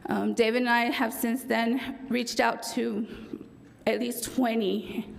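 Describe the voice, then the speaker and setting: A woman with a raspy voice giving a formal presentation to a city council for a police department, with frequent ums and self-corrections. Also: fairly high pitch, little pitch movement, slow. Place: council chamber.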